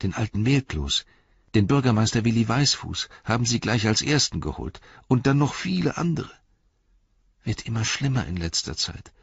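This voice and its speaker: Man reading as a nervous, complaining hobbit constable, high and husky. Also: very animated, normal speed, no echo, highs cut off, exaggerated voice. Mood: confiding. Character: timid, grumbling young male hobbit constable.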